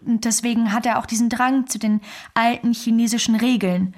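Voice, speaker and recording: An actress playing a candid, cheerful Chinese wife in a naturalistic radio play, talking in a matter-of-fact, conversational way. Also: female voice, on the high side, steady tone, a little fast, darkish tone, lightly acted. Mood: reflective, matter-of-fact.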